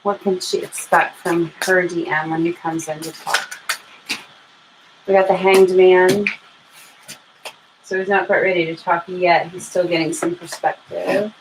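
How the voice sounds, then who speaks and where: Lower-voiced American woman reading tarot live. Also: flat delivery, unhurried, pauses often. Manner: informal, thinking aloud, addresses viewers affectionately. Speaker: tarot reader hosting a live stream. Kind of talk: casual live tarot reading, chatting to viewers. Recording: clear background noise and room ambience.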